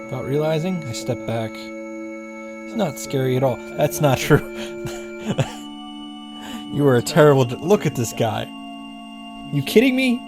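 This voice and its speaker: Man, medium pitch, casual narration reading, blunt asides, clear game music. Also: big pitch swings, unhurried, long pauses. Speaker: co-host reading a visual novel.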